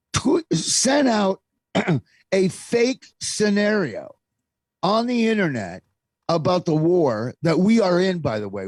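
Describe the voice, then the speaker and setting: American man, middle-aged talk show host, lively swooping high voice, exasperated, emphatic. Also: no room sound. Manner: exasperated, emphatic, rhetorical questions. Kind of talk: opinionated talk radio chat.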